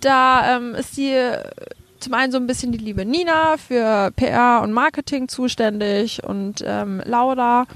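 A woman's voice: on the high side, measured pace, a bit bright, no room sound. Chatty young Franconian woman, dry sound. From Franconia, Germany. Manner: chatty, laughs often, many fillers. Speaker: podcast guest. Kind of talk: casual interview chat.

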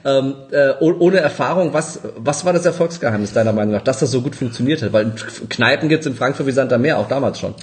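A man's voice: medium pitch, fast talker, hardly any pauses. A man with a mid-range voice hosting a casual interview. He sounds eager and full of praise, tripping over and repeating words as he shapes his questions. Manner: enthusiastic, repeats words, stumbles. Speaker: interviewer, podcast host.

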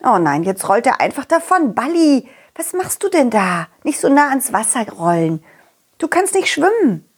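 A woman voicing a confident, loyal dog who tells an adventure to children in an expressive, chatty way. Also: high voice, moderate pace, breathy edge, heavily acted. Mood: excited, caring. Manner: animated, expressive, addresses children directly. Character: confident, loyal, friendly talking dog telling his adventure. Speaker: puppeteer storyteller. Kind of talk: children's audio story, narrating to kids.

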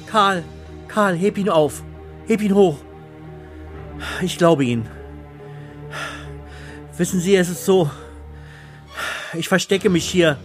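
A man plays a mother living in hiding in a radio thriller. The voice is fairly high, guarded and urgent. Fear and suspicion run through it, with a light music bed beneath. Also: takes it slowly, long pauses. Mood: fearful, confessing. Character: fearful, suspicious mother hiding in witness protection.

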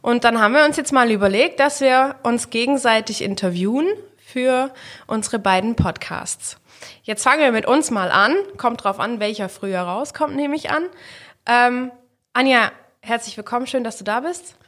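A woman's voice: a little high, normal speed, a bit bright. A woman hosting a podcast, asking short friendly questions and laughing along with her guest. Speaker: podcast host. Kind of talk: casual interview.

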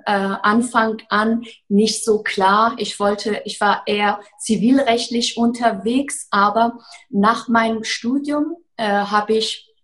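A woman, a defense lawyer giving earnest, hesitant interview answers full of fillers. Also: medium pitch. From Afghanistan.

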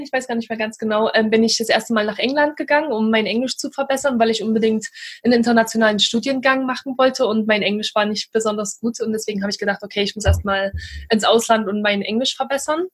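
A woman in her thirties, originally from Saxony-Anhalt, speaks as a guest in a relaxed conversation. Her voice is husky and sits a little high, and she reflects on her experiences in a measured, thoughtful way. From Saxony-Anhalt, Germany. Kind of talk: casual conversation.

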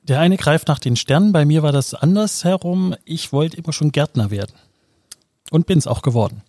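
A man's voice: a little high, animated, moderate pace, mellow, no echo, studio quality. A man answering panel questions as a guest, thoughtful and reflective.

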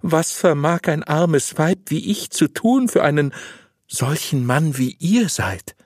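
A man's voice: a little high, very animated, takes it slowly, dry recording, studio quality, voice pushed up, heavily acted. A man voicing a pious, naive young wife in a storybook, speaking in earnest, pleading, formal phrases. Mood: meek, compliant. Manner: earnest, pleading, old-fashioned formal speech. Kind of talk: audiobook storytelling.

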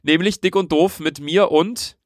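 A man's voice: on the high side, a little slow, no room sound, clean studio sound. A young man co-hosting a podcast in casual, slangy, teasing chat, tired and slightly nasal from a cold. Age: young adult. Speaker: podcast co-host.

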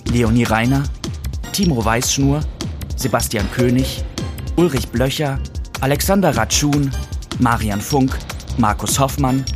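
A man reading a scripted podcast outro as announcer, with credits-style narration. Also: mid-range voice. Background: music.

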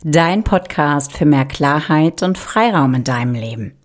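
A woman's voice: deep voice, expressive, a little slow. A woman from Berlin speaking German as the host of an interview podcast, introducing and questioning her guests.

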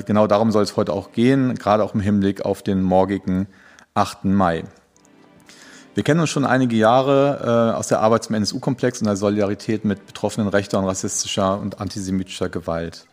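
A man's voice: on the low side, darkish tone. A man hosting a podcast interview, introducing guests with many hesitant fillers. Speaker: podcast host.

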